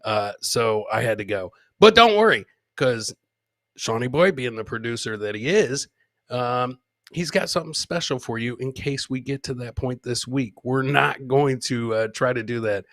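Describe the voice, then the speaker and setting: A man's voice: mid-range voice, expressive, normal speed, fairly bright tone, no room sound, clean studio sound. A man hosting a live comedy podcast, chatting informally with viewers and swearing casually. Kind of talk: casual live show chat.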